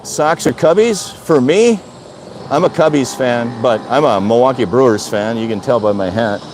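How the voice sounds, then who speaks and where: A man's voice: medium pitch, animated, normal speed, a little mellow, a little hiss or hum. An older man from Wisconsin chatting casually on a live stream, rambling and repeating himself as he answers viewer comments. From Wisconsin, USA. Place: outdoor city balcony.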